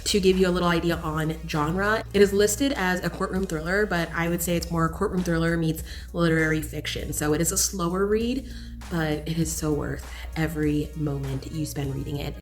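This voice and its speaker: A woman's voice: low-pitched, moderate pace, faint music behind. A woman chatting casually to viewers as a book reviewer, gushing and candid with emphatic opinions.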